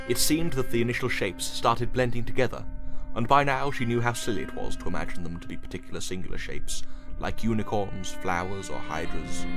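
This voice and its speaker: A man narrating a story in scripted third-person prose. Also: fairly low pitch.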